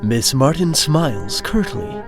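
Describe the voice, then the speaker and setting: A man narrating a scripted comedic audio drama in a measured, wry way. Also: mid-range voice, big pitch swings, music in the background.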